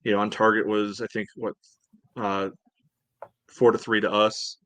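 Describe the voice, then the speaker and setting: A man co-hosting a soccer podcast, giving casual analysis in a measured, halting, conversational way. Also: lowish voice, little pitch movement, a bit bright, no echo. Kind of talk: casual sports analysis chat.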